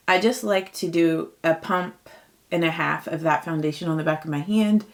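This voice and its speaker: A woman in her late thirties chatting casually through a makeup routine, upbeat and full of fillers, her voice a touch raspy from early morning. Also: low-pitched. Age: 30s. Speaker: beauty vlogger. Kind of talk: casual get-ready-with-me chat.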